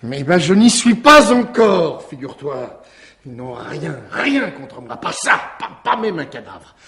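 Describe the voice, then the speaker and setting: An actor in a French radio mystery drama, playing a defensive, weary suspect with a sardonic, short-tempered manner. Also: male voice, fairly high pitch, big pitch swings, fairly bright tone, exaggerated voice. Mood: angry, accusing. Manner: volatile, sardonic, halting when pressed.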